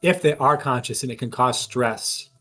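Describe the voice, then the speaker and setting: A man's voice: medium pitch, moderate pace, bright, forceful tone. A man talking casually and analytically as he works through a mystery at length as a tabletop game player. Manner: analytical, reasons aloud at length.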